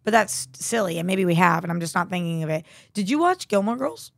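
A young adult woman co-hosting a casual entertainment chat show, excited and sarcastic, who swears freely. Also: lowish voice, brisk, vocal fry, no room sound, clean studio sound. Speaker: co-host.

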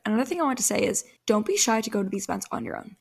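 A young woman talks through her advice in a solo podcast, friendly and earnest. Her voice has a lot of vocal fry and sounds a bit worn and hoarse. She sits at a middle pitch and keeps an easy, conversational flow. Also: animated, rapid-fire. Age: young adult. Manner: chatty, earnest, encouraging. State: voice fading, slightly hoarse. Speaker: podcast host.

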